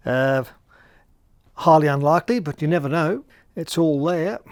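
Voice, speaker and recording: A man's voice: on the high side, unhurried, a little mellow, clear, resonant tone, dry recording. Hobbyist man, close dry voice. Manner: hesitant, thinking aloud. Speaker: hobbyist radio repairer and presenter. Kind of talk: casual workshop demonstration.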